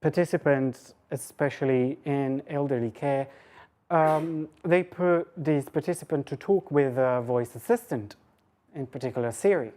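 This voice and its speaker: Low-pitched woman lecturing informally. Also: measured pace, warm tone. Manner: hesitant, self-interrupting, thinking aloud with rhetorical questions. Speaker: lecturer giving a talk. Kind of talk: academic lecture, informal and conversational.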